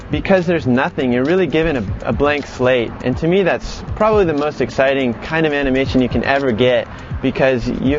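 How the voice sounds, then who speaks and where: A male instructor explains his lesson conversationally in a medium-pitched voice. The recording is a little muffled, and music plays clearly behind him. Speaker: animation acting instructor.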